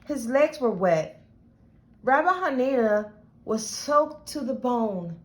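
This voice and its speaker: Slow, high-voiced woman storyteller. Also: sing-song. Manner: deliberate, dramatic phrasing for young listeners. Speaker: children's storyteller, host. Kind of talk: expressive read-aloud of a picture book.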